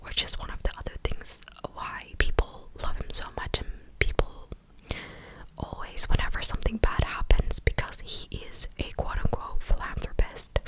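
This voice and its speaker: A young woman speaking in a breathy ASMR whisper close to the microphone, chatting casually and hesitantly as she recaps news. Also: moderate pace, rough recording. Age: young adult. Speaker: ASMR content creator. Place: small living room, indoors. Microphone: close desktop condenser microphone.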